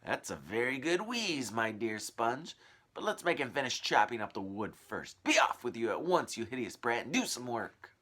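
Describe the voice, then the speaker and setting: A man voicing a grim, bullying aunt in a children's storybook, loudly scolding and commanding. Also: mid-range voice, big pitch swings, moderate pace. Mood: scornful, bossy. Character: grim, bony, bullying aunt barking orders. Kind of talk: children's storybook read-aloud.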